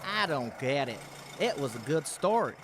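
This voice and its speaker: An actor playing a cornered, slangy small-time crook in a radio crime drama. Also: male voice, a little high, very animated, normal speed, a bit bright, slight background noise. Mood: grudgingly puzzled.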